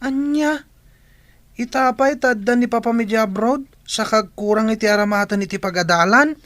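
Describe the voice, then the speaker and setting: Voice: medium pitch, moderate pace, a bit bright, no echo, natural voice. An actor voicing a brash, indignant schoolboy in a radio drama, whiny and scolding.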